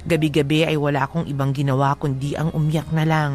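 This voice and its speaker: Filipino female letter narrator for radio drama: low, measured, wistful actress as a married woman, soft music bed. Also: level tone, natural voice. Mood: wistful, heartfelt. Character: married woman recounting her own sad love story. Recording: faint music behind the voice.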